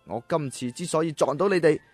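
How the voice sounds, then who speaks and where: An actor playing a timid, polite young scholar of ancient China, earnest and hesitant, in a radio drama. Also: male voice, on the high side, brisk, a little mellow, dry recording, faint music behind, natural voice.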